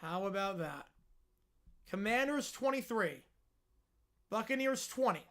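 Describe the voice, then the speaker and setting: A man hosting a live sports reaction stream, chatting casually and answering viewers, his voice hoarse and worn out. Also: high-pitched, lively intonation, measured pace, a bit bright. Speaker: livestream host.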